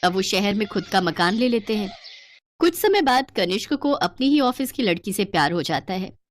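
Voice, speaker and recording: A woman's voice: medium pitch, big pitch swings, moderate pace, background noise. A woman storyteller giving dramatic scripted narration for a cartoon tale.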